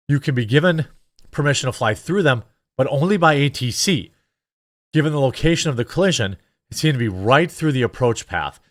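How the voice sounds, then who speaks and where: A man's voice: medium pitch, a bit bright, no room sound, studio quality. A man giving casual commentary on news footage as a show host, conversational and a little hesitant as he thinks aloud.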